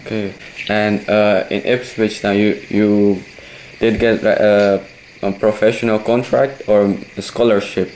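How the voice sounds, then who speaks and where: A man's voice: on the low side, level tone, a little slow, darkish tone, slight background noise, rough recording. A man, a native Indonesian speaker, hosting an interview in English, hesitant and full of "okay" and "yeah" fillers. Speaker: interviewer, host. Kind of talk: casual interview.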